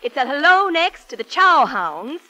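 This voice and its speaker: A woman's voice: high-pitched, very animated, unhurried, pushed and bright. A woman hosting a 1940s radio show for servicemen, announcing and reading out dedications. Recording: 1940s wartime radio broadcast.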